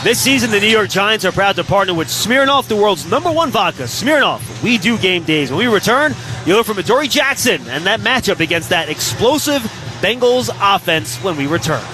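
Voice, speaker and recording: A man's voice: sits high, animated, brisk, noisy background. A man hosting a football pregame radio show, presenting segments and interviewing. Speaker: sports radio host.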